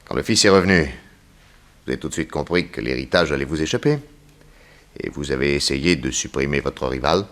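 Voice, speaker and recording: An actor playing a shrewd, insistent private detective in a 1960s French radio serial, with a probing, ironic, theatrical manner. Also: male voice, very deep voice, animated, normal speed, fairly bright tone. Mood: sly, accusing.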